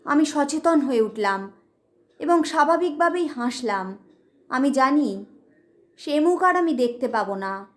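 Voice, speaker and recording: A woman's voice: high-pitched, animated, measured pace, pauses often. A woman reading a story aloud in a dramatic storytelling style. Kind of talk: dramatic audio story narration.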